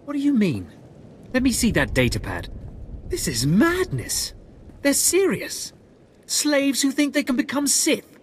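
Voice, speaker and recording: Animated, formal military ensign. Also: male voice, extremely high pitch, unhurried, long pauses, slight background noise, full character voice. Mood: shocked. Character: disdainful, deferential young military ensign. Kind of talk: video game dialogue acting.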